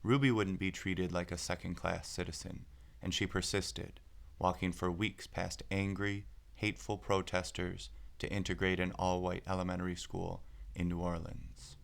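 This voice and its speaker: A man narrating a picture book aloud, phrasing it clearly with deliberate pauses. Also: deep voice, flat delivery, a bit bright. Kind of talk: scripted storybook narration.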